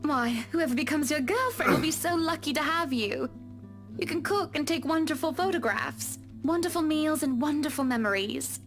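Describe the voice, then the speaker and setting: Man doing a teasing married woman, coy falsetto, high-pitched, soft game music behind. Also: expressive, normal speed, full character voice. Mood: flirty teasing. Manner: playful, coy, sing-song falsetto.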